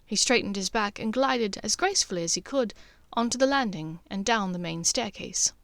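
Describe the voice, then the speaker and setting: A woman's voice: medium pitch, normal speed, creaky, a bit bright, no echo. A woman reading scripted story narration as an audiobook narrator.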